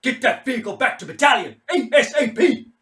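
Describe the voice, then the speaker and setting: A middle-aged Marine commander, acted out by a male story reader, drunk and spoiling for a fight. He barks profane orders in a loud, raised, forceful voice, bullying everyone in earshot. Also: very high-pitched, lively intonation, brisk, echoey room, voice pushed up. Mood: barking, defiant. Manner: barking, domineering, profane.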